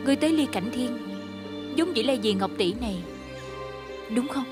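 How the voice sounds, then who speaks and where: A woman playing a young healer from a secret tribe in a dubbed fantasy costume drama, caring but quick to scold. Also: a little high, lively intonation, over loud music, natural voice. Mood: stern warning.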